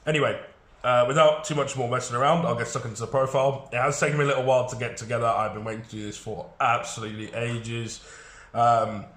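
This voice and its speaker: A man's voice: mid-range voice, fairly even intonation, fast talker, fairly bright tone, roomy sound. A man with a head cold, sounding congested, chatting casually through a card game deck with frequent fillers.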